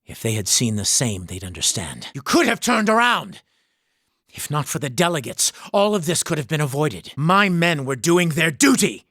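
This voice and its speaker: An actor playing a proud, devout and haunted mercenary commander in a fantasy video game, speaking in a grave, formal, accusatory manner. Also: male voice, a little high, big pitch swings, normal speed, a bit bright, no room sound, clean studio sound, full character voice. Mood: proud, scornful, then angry, accusing. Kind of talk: dramatic fantasy game voice acting.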